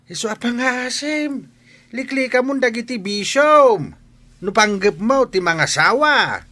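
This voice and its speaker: Slow, swooping old village father. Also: very high voice, animated, pushed and bright, full character voice. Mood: stern pleading. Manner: slow, drawn-out, emphatic preaching. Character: earnest elderly village father admonishing his son. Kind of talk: radio drama acting.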